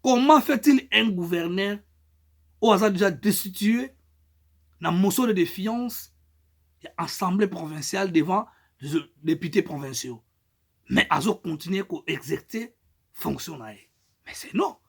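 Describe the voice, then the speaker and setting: A middle-aged man from Congo with a Congolese French accent, making an emphatic political statement, his loudness rising and falling. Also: high voice, lively intonation, a little slow, gravelly, long pauses, slightly rough. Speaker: political activist.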